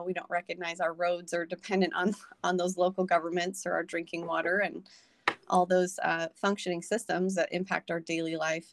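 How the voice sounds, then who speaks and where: A woman's voice: on the low side, no room sound. A young adult woman, a podcast guest, talking earnestly in a casual conversation, with many ums and restarts.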